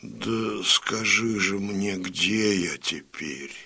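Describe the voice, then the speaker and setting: Old Cossack warlord, very husky rasp, forceful edge, stern and fierce, radio drama. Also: male voice, on the low side, extremely slow, heavily acted. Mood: weak, dazed. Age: older. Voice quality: gravelly, raspy. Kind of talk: dramatic audio drama acting.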